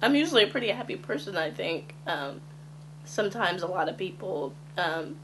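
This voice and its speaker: Low-voiced woman in her twenties. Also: big pitch swings, normal speed, poor audio. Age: 20s. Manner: candid, conversational, frequent ums. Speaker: casting applicant. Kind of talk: casting tape self-introduction.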